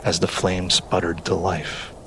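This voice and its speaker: A man narrating a dramatic scripted story in a measured, solemn way, with phrases sometimes falling hushed and breathy. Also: sits low, little pitch movement, noisy background. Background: background music.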